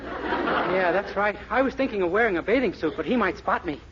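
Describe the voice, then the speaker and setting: A man's voice: high-pitched, lively intonation, brisk, over a noisy crowd. An actor playing a meek, naive young American man with an earnest, boyish, plaintive manner in 1940s radio comedy. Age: young adult. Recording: very noisy, dull 1940s radio recording.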